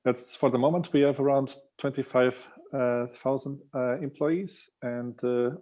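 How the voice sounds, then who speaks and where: A male product manager speaks as a podcast guest at a middle pitch. His delivery is informative and conversational, in the manner of a business introduction. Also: fairly even intonation, measured pace, mellow.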